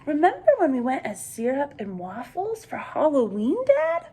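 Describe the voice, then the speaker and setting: Woman voicing an eager, high-pitched little boy. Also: wildly swooping pitch, normal speed. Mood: excited, reminiscing. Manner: bright-eyed, questioning, wondering. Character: eager, affectionate young boy talking to his dad.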